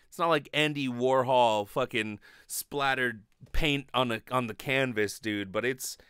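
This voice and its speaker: A man in his thirties with a clean, smooth voice at a middle pitch talks close to the mic with very little room sound. He rambles through a confessional comic monologue as a podcast host, swearing freely and getting animated as he goes. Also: dry recording. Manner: animated, profane, rambling storytelling. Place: small bedroom, indoors. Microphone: close desk studio microphone with pop filter.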